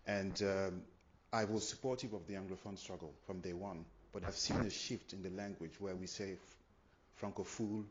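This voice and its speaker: A man's voice: low-pitched, steady tone, moderate pace, slight background noise. A man from Cameroon with a French Cameroonian accent, asking a frank, direct question from the floor at a talk. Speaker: audience member asking a question. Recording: muffled, lo-fi conference recording.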